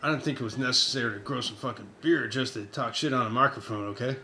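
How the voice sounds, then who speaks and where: A man's voice: medium pitch, fast, pushed and bright, slight background noise. A man playing a laid-back, put-upon surfer in a comedy audio drama. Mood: mildly irritated. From San Diego, United States. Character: laid-back, shipwrecked surfer dude, good-natured but put-upon.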